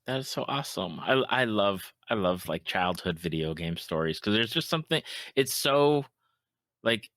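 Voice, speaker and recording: A man's voice: lowish voice, animated, normal speed, fairly bright tone, no room sound. A man hosting a podcast, chatting casually and agreeably about video games with enthusiasm. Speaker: podcast host.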